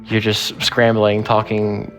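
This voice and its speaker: A man's voice: lowish voice, completely flat delivery, slow pace, a bit bright, faint music behind. A man being interviewed, casually recalling his own experience in everyday speech. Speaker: interviewee, former trainee. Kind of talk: casual first-person recollection.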